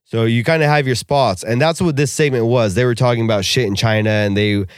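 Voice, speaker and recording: A young man co-hosting a podcast, talking casually with animated, profane rants. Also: medium pitch, a little fast, clean tone, no room sound, clean studio sound. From Russian family background. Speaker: podcast co-host.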